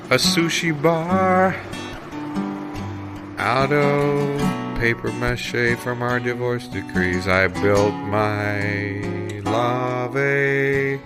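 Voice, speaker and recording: A man's voice: mid-range voice, very slow. A man singing an improvised comic song over guitar. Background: acoustic guitar music.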